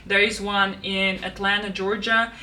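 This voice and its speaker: Bright-voiced young woman. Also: mid-range voice, level tone, measured pace, far from the mic. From Russia. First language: Russian. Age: 20s. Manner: chatty, many fillers and restarts. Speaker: vlogger. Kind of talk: casual planner walkthrough. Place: home room.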